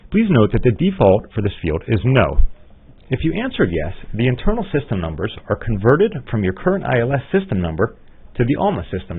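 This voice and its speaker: A man with a fairly low voice narrates a software tutorial through a narrow, phone-like recording. His delivery is methodical and scripted, yet his intonation moves up and down a good deal as he explains each step. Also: slightly rough. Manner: methodical, instructional. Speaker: software trainer. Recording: muffled, phone-like narrow-band audio.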